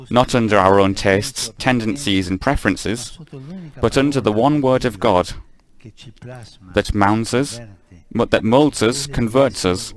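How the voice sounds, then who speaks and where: A man giving a live spoken translation of a homily, measured, with frequent stumbles and self-corrections. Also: lowish voice, poor audio.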